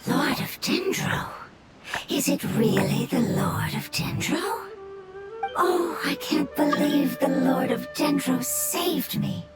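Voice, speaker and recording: An actress voicing a small, polite fungus creature in a video game, speaking slowly and breathily in awe. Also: female voice, sits high, lively intonation. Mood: awed. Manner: slow, breathy, awed. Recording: game soundtrack underneath. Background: loud game music.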